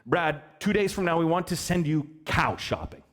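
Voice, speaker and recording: A man preaching a sermon as pastor, telling a story in an animated, joking way and asking the children questions. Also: fairly high pitch, moderate pace. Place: church hall.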